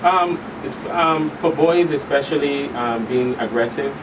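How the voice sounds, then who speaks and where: A man explains things as an expert guest in a conversational interview. He is deliberate and halting, often repeating himself for emphasis. He sounds away from the mic, over clear background noise. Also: mid-range voice, far from the mic. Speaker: expert guest, school counselor.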